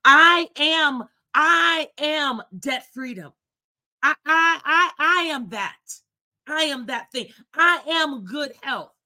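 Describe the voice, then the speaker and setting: A woman's voice: high-pitched, takes it slowly, pushed and bright. A woman co-hosting a faith talk show, speaking earnestly and declaring affirmations with emphasis.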